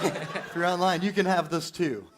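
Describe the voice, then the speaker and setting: Casual male panel host, slightly high voice. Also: moderate pace, crowd noise.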